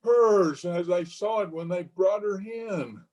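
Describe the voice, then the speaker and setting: A man's voice: sits high, slow pace, darkish tone, rough recording. Man acting haunted, feverish killer in radio horror. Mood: haunted dread. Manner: melodramatic, feverish, repeating words. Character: guilt-ridden, haunted male killer, raving with dread.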